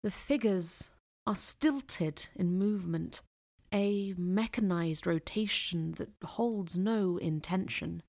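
A woman narrating an audio play in a deliberate, poetic storytelling manner. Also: fairly low pitch, a little mellow.

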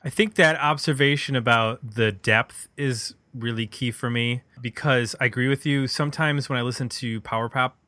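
A male podcast host with a bright, forward voice. He talks casually and conversationally, thinking aloud as he reviews music. The recording is close and dry, with very little room around him. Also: mid-range voice, steady tone, normal speed, few pauses, no echo.

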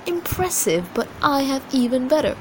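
A cocky young warrior played by a woman shows off with competitive mock pride in a storybook reading. There is clear noise behind the voice. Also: high-pitched, sing-song, normal speed, a little mellow, pitched above the performer's own voice, rough recording. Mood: boastful, teasing. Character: boastful young male warrior comparing battle bruises.

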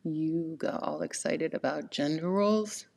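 A woman's voice: sits low, measured pace, creaky, a little mellow, breathy edge. A woman co-hosting a podcast in casual, chatty conversation, lying on the floor of a yoga studio. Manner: chatty, laughs often, fillers. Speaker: podcast co-host.

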